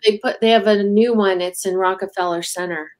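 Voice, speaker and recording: A woman's voice: medium pitch, fairly even intonation, a little fast, darkish tone. A woman co-hosting a live-stream auction over a video call, chiming in with short, agreeable remarks. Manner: brief, agreeable interjections. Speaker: co-host. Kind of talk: casual live-stream chat.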